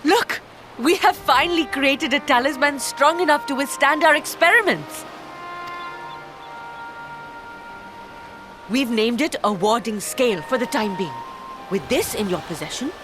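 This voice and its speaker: A woman's voice: high voice, lively intonation, normal speed. An actress playing a determined, composed woman researcher in a fantasy video game, speaking formally and precisely. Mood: proud, excited. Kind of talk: video game cutscene acting. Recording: voice over game music.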